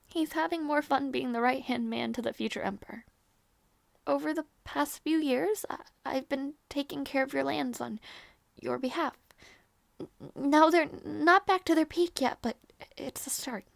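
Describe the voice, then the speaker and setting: A woman playing a gentle, earnest young princess in a romantic fantasy audio roleplay, speaking softly and hesitantly with tender affection. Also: high-pitched, gravelly, dry recording. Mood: proud, tender. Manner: soft, hesitant, tender, halting delivery.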